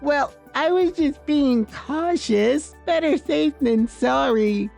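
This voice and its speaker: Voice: high-pitched, lively intonation, slow, gravelly, darkish tone, faint music behind, voice pushed up, full character voice. A storyteller voicing an entitled, attention-seeking woman diner in a whiny, defensive, showy way.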